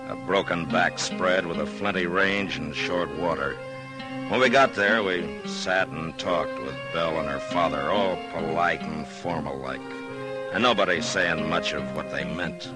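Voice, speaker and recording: Actor as deep-voiced frontier marshal, old radio western. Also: male voice, natural voice. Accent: American. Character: steady, plain-spoken adult male frontier marshal. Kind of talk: 1950s American radio western acting, part first-person narration.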